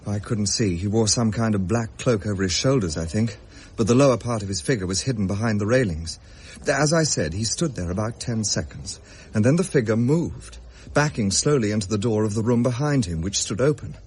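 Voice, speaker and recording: An actor playing an English gentleman who recounts a ghostly tale in a measured, old-fashioned audio drama style. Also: lowish voice, animated, slight background noise, close to an everyday voice. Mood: grave, haunted. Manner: measured, genteel, grave.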